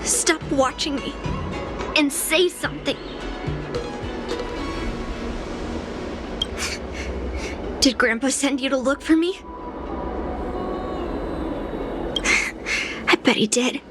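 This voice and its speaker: A woman's voice: sits high, normal speed, lightly acted. A woman playing a headstrong, stubborn young girl swordsmith in a video game, blunt and determined in manner. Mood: defiant, impatient. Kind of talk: video game character dialogue. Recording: game music behind the voice.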